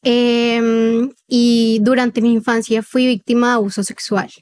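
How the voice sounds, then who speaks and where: High-voiced young Colombian woman. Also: little pitch movement, unhurried, darkish tone. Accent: neutral Colombian with a slight paisa lilt. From Cartagena, Colombia. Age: 20s. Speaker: podcast guest. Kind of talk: personal testimony in conversational interview.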